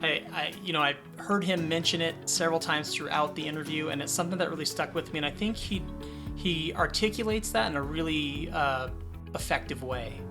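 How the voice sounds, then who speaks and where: A man's voice: a little high, a little fast, vocal fry, over music. A man in his thirties hosting a conversational interview podcast and introducing his guest. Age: 30s. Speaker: podcast host.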